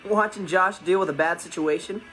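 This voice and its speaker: A man's voice: high-pitched, fairly quick. A young man with an American accent playing a cocky, mischievous teenage stepbrother in a sitcom monologue, teasing and exaggerating. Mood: amused, teasing. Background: loud music.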